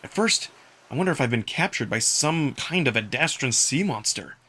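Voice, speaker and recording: A man narrating a first-person visual novel story in an expressive, dramatic style. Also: mid-range voice, moderate pace, slightly rough.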